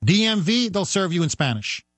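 A man guest-hosting a talk radio show, talking in a forceful, rhetorical way and speeding up as he presses his points. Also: high voice, sing-song, moderate pace, dry recording. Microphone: studio microphone.

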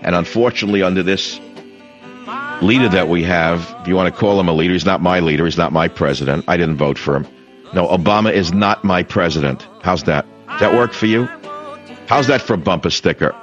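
A man's voice: low-pitched, fairly quick, soft background music. An older man hosting a talk radio show, emphatic and opinionated, often restarting his sentences. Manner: emphatic, repeats himself, many restarts. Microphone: studio microphone. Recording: dull, dry studio broadcast sound.

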